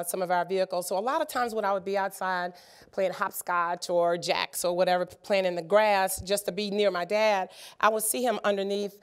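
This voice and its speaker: A woman in her fifties, slightly raspy from a sinus cold, giving an encouraging conference workshop talk with frequent ums and uhs. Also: mid-range voice. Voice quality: many filler ums and uhs. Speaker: workshop presenter. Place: conference room.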